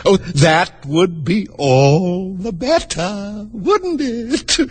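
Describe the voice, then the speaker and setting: Guy reading a storybook, doing a scatterbrained old queen, super high and wobbly, drags every word out. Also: expressive, slightly rough, heavily acted. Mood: dithering. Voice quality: high, quavering, shrieky. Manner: drawn-out dithering, stammering, bursting into squeals. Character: flustered scatterbrained old queen, woman, shrieking.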